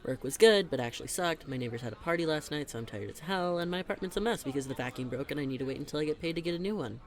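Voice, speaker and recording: A man's voice: fairly high pitch, animated, rapid-fire. A young man playing a teasing, chatty best friend in a conversational audio roleplay, talking in a casual, emphatic way. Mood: exasperated venting. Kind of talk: conversational scripted roleplay monologue.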